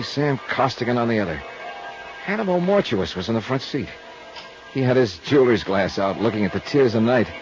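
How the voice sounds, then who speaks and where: An actor playing a tough, world-weary insurance investigator in a 1950s radio drama, speaking and narrating in a dry, wry, understated way. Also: male voice, mid-range voice, natural voice. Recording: muffled 1950s radio recording with music.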